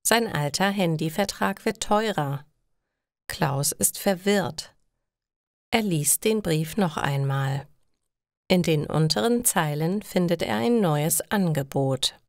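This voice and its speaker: A woman narrating simple stories for language learners in slow, deliberate, scripted phrasing. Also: lowish voice, animated, no room sound, studio quality.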